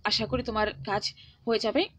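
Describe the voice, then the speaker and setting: A woman's voice: on the high side, fairly even intonation, moderate pace, a bit bright. A woman teaching an online exam class in an instructional way, often repeating phrases and adding short check-in questions. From India. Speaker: exam-prep history teacher. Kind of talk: online class, reading quiz questions and answers with encouraging advice.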